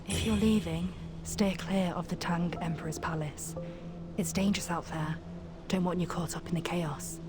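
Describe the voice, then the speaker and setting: Woman acting a low-voiced, guarded protector. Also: fairly even intonation, normal speed, heavy background noise, natural voice. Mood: concerned, cautioning. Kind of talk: video game cutscene acting.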